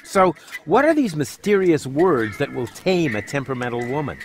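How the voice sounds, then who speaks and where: A man's voice: fairly high pitch, very animated, normal speed, a little hiss or hum. An actor playing a cocky, teasing young adventurer in a video game, brash and smug as he goads. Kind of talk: animated video game dialogue.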